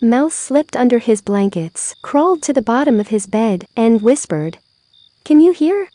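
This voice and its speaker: A woman reading a children's bedtime story aloud in a measured storytelling manner. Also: a little high, animated, dark tone, dry recording. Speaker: storybook reader.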